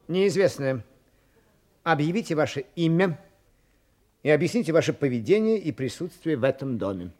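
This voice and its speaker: An officious police commissioner, acted by a man in a radio play. His voice sits a little high and moves animatedly as he interrogates. He is formal and probing. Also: expressive, normal speed, pauses often, darkish tone, lightly acted. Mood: stern, probing. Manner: formal, interrogating, muttering thoughtful hums.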